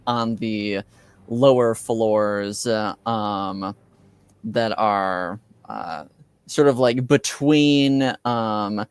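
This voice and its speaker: A man running a tabletop game as game master, narrating and refereeing in a halting way as he searches for words. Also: on the low side, clear, resonant tone, no room sound.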